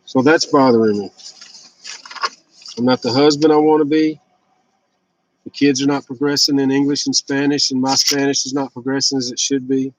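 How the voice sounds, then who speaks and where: A man's voice: medium pitch, flat delivery, normal speed, long pauses, rough recording. A man in his fifties talking casually and candidly in a rambling, blunt, confessional personal vlog. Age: 50s. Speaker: vlogger sharing fasting and fitness journey.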